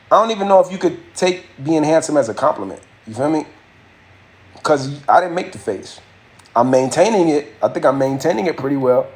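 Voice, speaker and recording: A man's voice: mid-range voice, a little mellow. A young man hosting a casual live stream, chatting loosely with viewers in slangy speech and answering their comments. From Haitian family. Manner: raps his verse with a raised, rhythmic delivery. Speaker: livestream host and rapper.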